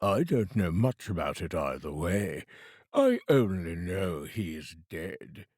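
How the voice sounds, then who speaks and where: A man voicing an indifferent fat businessman in a storybook reading, low and offhand. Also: sing-song, slow, a little mellow, breathy edge, dry recording, studio quality, full character voice. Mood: bored. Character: great fat businessman with monstrous chin, indifferent.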